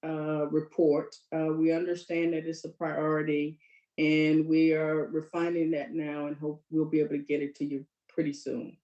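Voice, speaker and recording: A woman's voice: sits low, little pitch movement, normal speed, warm tone. A woman official giving careful, formal answers at a hearing. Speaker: government aviation official testifying. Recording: echoey, muffled lo-fi audio.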